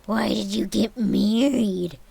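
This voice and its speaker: A woman's voice: medium pitch, takes it slowly, vocal fry, dark tone, breathy edge, exaggerated voice. A woman reading aloud and voicing a miserly, grumpy old man who growls his curt replies. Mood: gruff.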